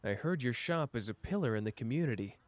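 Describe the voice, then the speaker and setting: A man's voice: mid-range voice, animated, no room sound, lightly acted. A young man voicing a clever, protective young man in an ASMR roleplay, talking in a dry, teasing way close to the listener. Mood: thoughtful. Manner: dry, teasing, quick-witted, close and intimate.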